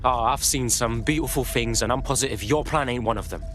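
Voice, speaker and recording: An actor playing a defiant, sarcastic rebel in a game. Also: male voice, mid-range voice, fairly quick, fairly bright tone, close to an everyday voice.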